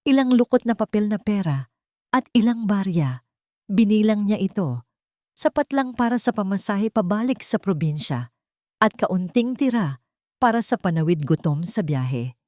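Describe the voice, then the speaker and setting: A woman narrates a romantic drama with measured, emotive delivery. Her voice sits low. The recording is dry and close, with no room sound. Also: darkish tone, no echo.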